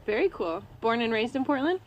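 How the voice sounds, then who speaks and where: A woman's voice: on the high side, sing-song, fairly quick, a little hiss or hum. Cheery lady radio host, laughs a lot, repeats stuff, studio mic. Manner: upbeat, repeats phrases, laughs often. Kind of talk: casual radio chat.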